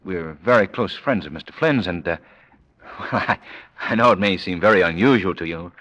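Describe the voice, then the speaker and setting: Gentleman sleuth, male: very low, animated, brisk and take-charge. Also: no echo. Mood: flustered. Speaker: radio drama actor. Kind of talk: old-time radio drama acting. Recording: noisy, clicking old radio recording.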